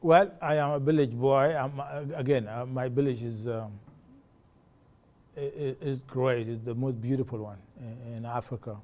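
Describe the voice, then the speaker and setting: Male interviewee, forties, South Sudanese accent; relaxed, dark timbre, halting delivery. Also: medium pitch. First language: a South Sudanese language. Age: 40s. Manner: halting, many fillers and restarts. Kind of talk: personal testimony.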